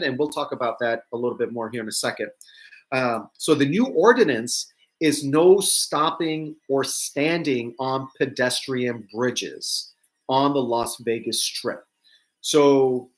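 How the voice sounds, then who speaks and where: A man from New York with a mixed American accent, touches of New York and Southern, hosting a casual live news chat and rambling to viewers with frequent ums and asides. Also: mid-range voice. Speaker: host of a live news show.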